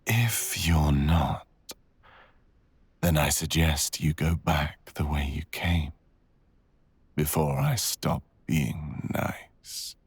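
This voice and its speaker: A man playing a cruel, arrogant dragon villain in an intimate ASMR roleplay, gravelly and breathy, with a menacing, teasing drawl that slips into whispers. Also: very deep voice, very slow, long pauses, no room sound, full character voice. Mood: quiet menace.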